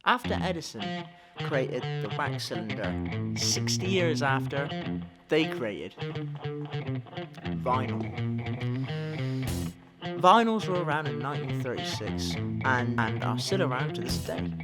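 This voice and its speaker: A man's voice: medium pitch, very animated, unhurried, long pauses. A young man presenting a news-style history video in an enthusiastic, informal, exclamatory way. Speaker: student presenter. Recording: music behind the voice.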